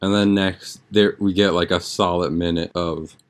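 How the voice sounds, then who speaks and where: A man's voice: low voice, little pitch movement, moderate pace, slight background noise. A young man chatting casually as a podcast host, with an informal, slangy, rambling way of talking. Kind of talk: casual podcast chat, episode recap.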